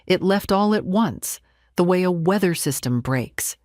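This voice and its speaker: A woman reading scripted first-person dramatic narration in a measured, composed, deliberate manner. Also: fairly low pitch, expressive, darkish tone, dry recording, studio quality. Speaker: story narrator.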